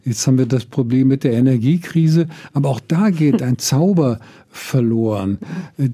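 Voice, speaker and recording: An older man who grew up in Israel, answering interview questions in a slow, deliberate way with frequent hesitations. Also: mid-range voice, dark tone, breathy edge. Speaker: guest.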